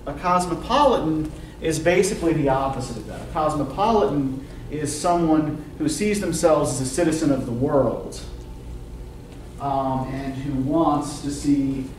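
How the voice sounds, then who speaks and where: A man's voice: fairly high pitch, a little slow. Male lecturer in an echoey classroom. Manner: questioning, often checks with "right?". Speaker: university literature lecturer. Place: classroom, indoors. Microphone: distant camera microphone, speaking through face mask. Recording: roomy classroom from far off, faint noise.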